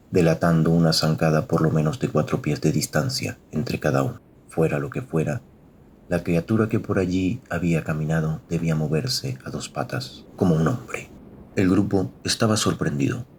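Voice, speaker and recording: Casting: male narrator for scripted suspense fiction, very deep bass, faint rumbly noise behind. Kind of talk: scripted dramatic story narration.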